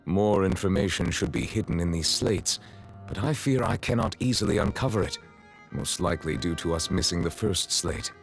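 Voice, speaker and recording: A grave, courteous male magistrate from a video game. His low voice is solemn and measured, with formal, reserved phrasing. Soft music plays behind him. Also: vocal fry, low-quality recording.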